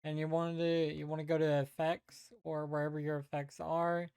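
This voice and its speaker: A woman giving a casual, unscripted software tutorial, hesitantly thinking aloud as she goes. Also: low-pitched, little pitch movement, moderate pace, smooth, no echo. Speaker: tutorial presenter.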